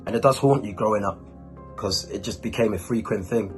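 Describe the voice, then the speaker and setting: Low-voiced man chatting casually to camera. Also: fairly quick, faint music behind. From Ghanaian, born and raised in Germany. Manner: informal urban slang, chatty. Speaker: YouTuber, host. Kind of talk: casual talk to camera answering questions.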